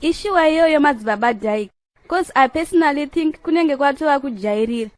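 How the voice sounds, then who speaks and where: A woman's voice: high-pitched, expressive, brisk, no echo. A woman playing a frank, opinionated debater in a comedy cartoon, mixing Shona and English. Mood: indignant.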